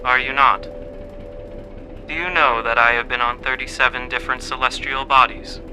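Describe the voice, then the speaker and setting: An actor playing a smooth-talking, formal conspirator in a radio drama, persuasive and measured. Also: male voice, mid-range voice, fairly even intonation, bright, forceful tone. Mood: courteous, persuasive. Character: smooth-talking conspirator luring recruits for aliens. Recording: clearly noisy background.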